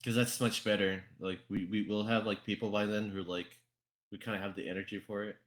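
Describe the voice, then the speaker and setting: A man hosting a casual group podcast, talking in a halting, repetitive way with casual swearing. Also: fairly low pitch, flat delivery.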